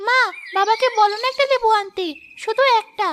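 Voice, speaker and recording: Woman voicing a little cartoon girl, coaxing, wheedling, very high childlike voice. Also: fairly even intonation, brisk, fairly bright tone, a little hiss or hum. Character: young girl of a poor family, longing.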